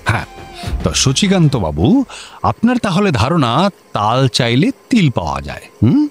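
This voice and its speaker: A man's voice: medium pitch, wildly swooping pitch. A vain bureaucrat in a radio comedy. His medium voice swoops widely as he hums importantly and repeats himself, over faint music. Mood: pompous. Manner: pompous, drawn-out hums and repeated agreement. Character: vain, self-important government officer hearing petitioners. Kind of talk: Bengali radio comedy drama acting.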